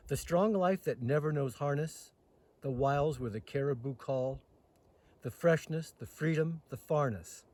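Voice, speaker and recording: Husky man reciting to an audience. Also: medium pitch, darkish tone. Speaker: host reading poetry aloud. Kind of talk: poetry recitation with casual introduction. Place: outdoors in the mountains.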